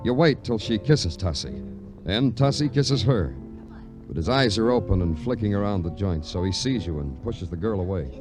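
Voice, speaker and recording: An actor playing a weary, laconic police detective in an old radio noir crime drama. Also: male voice, fairly low pitch, lively intonation, moderate pace, close to an everyday voice, low-quality recording. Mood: moody, noir. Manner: laconic, understated. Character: weary, terse, hard-boiled police detective. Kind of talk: 1940s-50s radio noir acting and narration. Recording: vintage radio recording with music behind.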